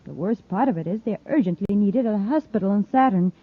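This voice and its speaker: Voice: medium pitch, expressive. A performer playing a worried, earnest space outpost official in an old radio adventure. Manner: earnest, hurried. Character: worried space outpost colleague reporting trouble. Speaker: radio drama actor. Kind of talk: mid-century radio adventure acting. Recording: thin, phone-like old radio recording.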